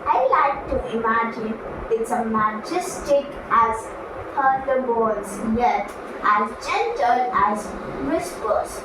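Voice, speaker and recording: A woman voicing an earnest, devout farmer as a puppet guest in a scripted show, with halting, deliberate phrasing. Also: a little high, animated. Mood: reverent, earnest. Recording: very echoey room, distant mic, noisy.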